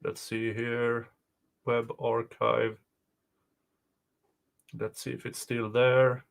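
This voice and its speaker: A man's voice: medium pitch, level tone, very slow, vocal fry. A man chatting casually as a co-host. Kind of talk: casual chat.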